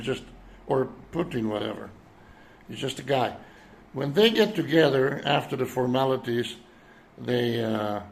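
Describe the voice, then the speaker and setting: A man in his seventies from Europe speaking in an unscripted, reflective monologue, halting as he searches for words. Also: medium pitch, slight background noise. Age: 70s. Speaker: citizen vlogger addressing world leaders.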